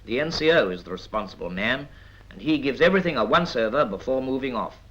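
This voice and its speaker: A British man reads scripted 1950s military training film narration in a measured, instructive manner with dry asides. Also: medium pitch, lively intonation, a bit bright. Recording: dull vintage 1950s film soundtrack.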